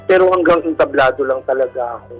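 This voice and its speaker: A middle-aged man with a Filipino accent and a husky voice, telling his personal story haltingly and candidly in a radio interview. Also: medium pitch, fairly bright tone, low-quality recording. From Philippines. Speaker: guest telling his life story, a stage performer. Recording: radio show with music bed. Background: background music.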